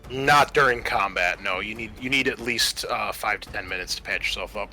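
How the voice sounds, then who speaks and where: A man running a tabletop roleplaying game as game master, explaining rules and rulings in a halting, improvised way. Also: lowish voice, bright, forceful tone, faint music behind.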